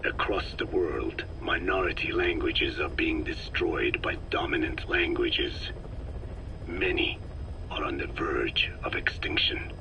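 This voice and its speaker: A grave old Navajo scientist. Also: male voice, heavily acted. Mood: solemn. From Navajo Nation, American Southwest. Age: older. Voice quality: low, slow, measured and solemn, often breathy. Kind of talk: game cassette tape dialogue. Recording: noisy tape hiss.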